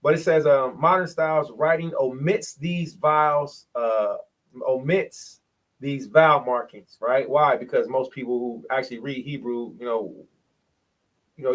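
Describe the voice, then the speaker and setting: A man's voice: mid-range voice, poor audio. A man presenting in a bible study, explaining points from a shared screen and sounding out syllables, often repeating himself.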